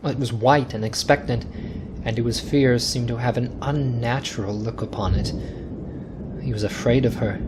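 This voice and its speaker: A man narrating a scripted ghost story in a dramatic, suspenseful, deliberate radio style. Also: medium pitch, fairly even intonation, noisy background. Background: music.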